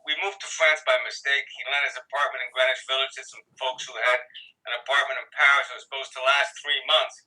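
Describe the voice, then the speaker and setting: A man's voice: mid-range voice, flat delivery, brisk, bright, forceful tone. A man in his sixties, a guest on a video call, talking casually, rambling and correcting himself with a wry edge. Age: 60s. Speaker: interview guest. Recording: distant video call audio.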